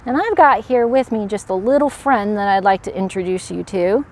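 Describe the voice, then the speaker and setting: A woman presenting as a park educator to children, with an animated manner, explaining and putting questions to young viewers. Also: medium pitch, normal speed, a little hiss or hum. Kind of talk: animated educational read-aloud and animal demonstration.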